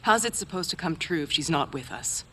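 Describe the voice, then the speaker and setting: A woman's voice: deep voice, animated, a little fast, sharp tone, a little hiss or hum. A woman acting an earnest, compassionate and troubled visionary in a video game cutscene, hesitant and pleading.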